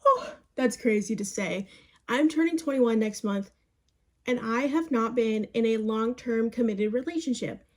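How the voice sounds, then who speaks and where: A woman's voice: fairly high pitch, lively intonation, unhurried. A young woman around twenty giving chatty, encouraging advice to her phone camera, calling her viewers "babes". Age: young adult. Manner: chatty and encouraging, says "babes" and "you guys".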